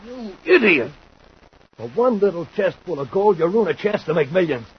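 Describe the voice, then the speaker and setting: A greedy, scheming swindler in a 1950s radio drama. He sneers and laughs scornfully, his higher voice swinging widely in pitch. The old recording sounds dull, with faint hiss behind. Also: very animated, normal speed, husky, full character voice. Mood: angry. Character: scheming male swindler metallurgist, mocking and greedy.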